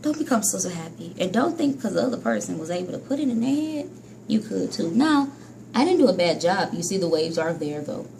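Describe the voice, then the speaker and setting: A woman talks straight to her viewers in a loose, chatty hair-care vlog, often scolding herself. Her voice sits at an everyday middle pitch but keeps jumping high and dropping low. A faint hiss of noise sits under the recording. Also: sing-song, a little fast, gravelly, darkish tone. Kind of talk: casual vlog monologue to viewers.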